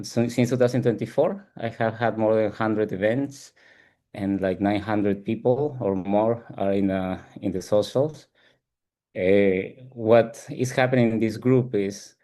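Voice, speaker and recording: A man from Peru with a Peruvian Spanish accent, giving reflective, halting explanations as an interview guest and often checking in with "right?". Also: lowish voice, dark tone. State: frequent throat clearing. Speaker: ADHD coach and support group founder, interview guest.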